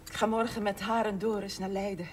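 A woman's voice: mid-range voice, little pitch movement, fairly quick, natural voice. An actress playing an older widowed mother who runs a struggling shop, plaintive and stubbornly proud, in naturalistic television drama. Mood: proud, defensive. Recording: noisy 1970s television soundtrack.

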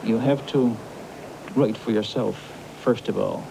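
Very gravelly man on noisy tape. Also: fairly low pitch, unhurried. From Newfoundland, Canada. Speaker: novelist being interviewed. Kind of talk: reflective interview talk. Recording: noisy archival documentary tape.

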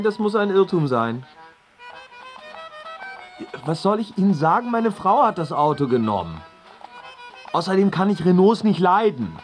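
A man's voice: high voice, a bit bright, exaggerated voice. An actor in a radio play voicing an irritable middle-aged family man who grumbles to himself. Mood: annoyed. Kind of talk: naturalistic radio play acting.

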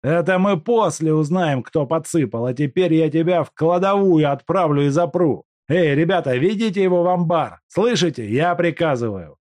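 A man voicing a furious, bullying factory manager who shouts orders and insults in a high, strained voice. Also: expressive, moderate pace, hardly any pauses, dry recording, natural voice. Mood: raging. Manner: shouting, commanding, contemptuous.

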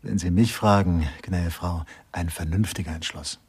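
An actor playing a drily pompous, bookish assistant detective in a German radio crime comedy, musing in know-it-all asides. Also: male voice, low-pitched, steady tone, moderate pace, full character voice. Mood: pompous, dry. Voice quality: husky. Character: bookish, opinionated male police sidekick, drily pompous.

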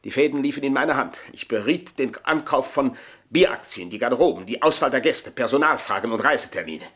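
An older actor playing an embittered, boastful manservant in a German radio play, speaking in a florid, mocking, theatrical way. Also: male voice, medium pitch, lively intonation, fairly quick, a bit bright, lightly acted. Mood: bitter, confiding. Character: aging, embittered, boastful manservant taunting his mistresses.

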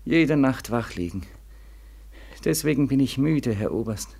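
An actor playing a bitter, sleepless young war veteran in 1940s German radio drama style, feverish and sardonic, repeating himself between weary murmurs and anguished cries. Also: male voice, medium pitch, lively intonation, a little slow, creaky, a little mellow. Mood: haunted, intense.

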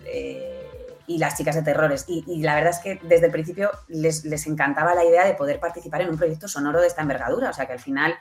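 A woman's voice: low-pitched, fairly even intonation, fast talker, faint music behind. A woman with a Spanish accent from Spain talks casually and enthusiastically as an interview guest, often checking in with little tag questions. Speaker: podcast producer and event presenter, interview guest.

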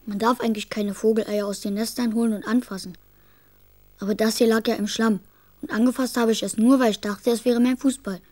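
A woman's voice: on the high side, fairly even intonation, a little fast, darkish tone, natural voice. A woman playing an earnest, curious young schoolboy in a children's radio drama, thinking aloud in a childlike way. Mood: earnest, concerned. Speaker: actor in a radio play.

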